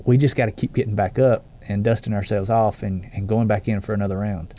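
A man's voice: fairly low pitch, fairly even intonation, brisk, mellow. A man co-hosting a faith podcast, talking in an earnest, emphatic way and often restarting phrases. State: stuffy with allergies. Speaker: podcast co-host.

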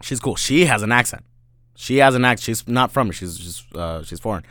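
A man's voice: medium pitch, lively intonation, brisk, fairly bright tone, dry recording, studio quality. A man in his twenties chatting casually, slangy and loose, saying "bro" a lot and swearing freely. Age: 20s. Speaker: podcast host. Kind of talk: casual chat.

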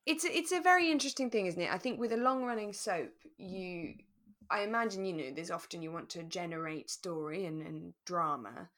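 A woman co-hosting a podcast in relaxed discussion, explaining things thoughtfully and with emphasis. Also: lowish voice, brisk. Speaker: podcast co-host. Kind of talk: relaxed chat reviewing a radio drama.

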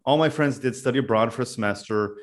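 A young man from California, a podcast guest telling his story in a loose, casual way with many pauses and "uh"s. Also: mid-range voice. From California, USA. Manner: loose, thinks aloud with many "uh"s.

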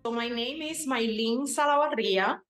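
A woman in her forties from Cuba, with a Cuban Spanish accent, telling her personal story to students in an unhurried way, pausing with ums as she walks listeners through imagined scenes. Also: a little high, a bit bright. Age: 40s. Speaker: guest speaker to students. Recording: echoey room.

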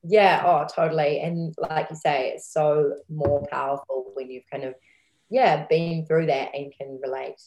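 A woman who works as a nutrition coach, a guest chatting casually and agreeably, full of quick affirmations. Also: low voice, fairly even intonation, a little mellow, roomy sound, low-quality recording. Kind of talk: casual interview chat.

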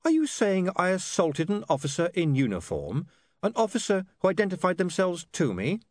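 A man's voice: high-pitched, sing-song, normal speed, darkish tone, dry recording, studio quality, voice pushed up. A man voicing a stubborn, nervy young newspaperman in an audiobook, clipped and supercilious. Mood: haughty. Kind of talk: audiobook character dialogue.

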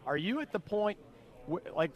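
Talkative radio host, fairly high voice. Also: male voice, big pitch swings. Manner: talkative, quick, jokey. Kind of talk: radio interview.

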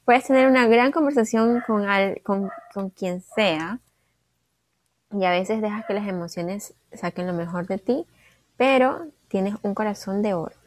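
A woman's voice: mid-range voice, a little slow, no echo. A woman, chatting casually and talkatively as a podcast co-host, slipping in the odd English phrase. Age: 20s. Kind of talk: casual chat.

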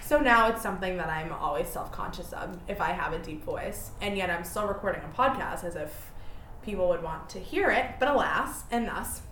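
A woman's voice: on the low side, expressive, normal speed, fairly bright tone, slight background noise. Chatty self-deprecating woman in an echoey room. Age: 20s. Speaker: podcast host. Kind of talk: casual solo podcast chat.

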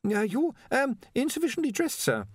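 Stammering, nervous young academic wizard, high-pitched. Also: male voice, huge pitch swings, normal speed, dry recording, clean studio sound, exaggerated voice. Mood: nervous, hesitant. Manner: stammering, hesitant, apologetic. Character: nervous, deferential male academic wizard, junior to his chief.

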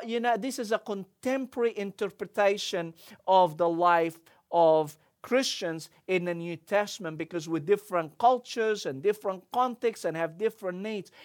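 A middle-aged man, preaching a sermon and telling a personal story, with lively quoting and frequent restarts. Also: high-pitched. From Egypt. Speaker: pastor.

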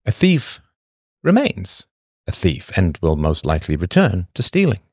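A man hosting a podcast, reading a scripted monologue full of rhetorical questions and emphatic pauses. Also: low voice, huge pitch swings, breathy edge, no echo, studio quality. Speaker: podcast host.

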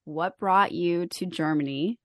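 Low-voiced woman hosting a podcast. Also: a little slow, fairly bright tone, dry recording, clean studio sound. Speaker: podcast host.

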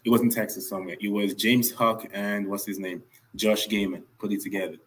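A man's voice: on the low side, fairly even intonation, a little fast, a little hiss or hum. A young man from Nigeria, a guest on a podcast, telling his story in an earnest way and repeating points for emphasis. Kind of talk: casual podcast interview.

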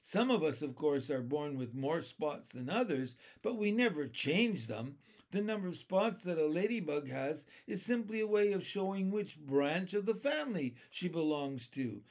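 A man's voice: a little high, very animated, moderate pace. A man voicing a proud, chatty female ladybug in a genteel, modest yet snobbish manner for a storybook. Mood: modest pride.

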